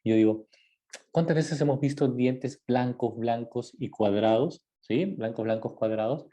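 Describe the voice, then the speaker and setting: A man's voice: medium pitch, measured pace, warm tone, dull audio. A man from Ecuador, a dentist, giving an informal lecture in a conversational way with many fillers and tag questions.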